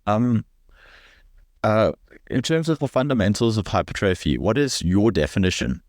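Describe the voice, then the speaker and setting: Male podcast host, very low voice, halting questions. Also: expressive, no echo, studio quality. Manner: hesitant, asks questions with many ums. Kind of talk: podcast interview.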